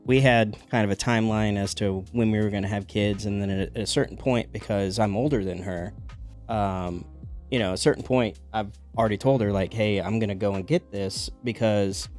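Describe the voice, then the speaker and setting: A man in his forties hosting a podcast, talking casually and thoughtfully, often pausing to find his words. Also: lowish voice, fairly even intonation, darkish tone, soft background music. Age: 40s. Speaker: podcast host. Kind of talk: casual conversation.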